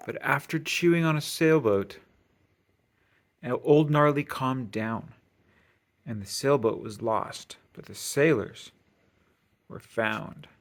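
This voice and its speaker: Male storybook reader, mid-range voice, expressive sing-song delivery for young listeners. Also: mid-range voice, slow pace, pauses often. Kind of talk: expressive children's read-aloud narration.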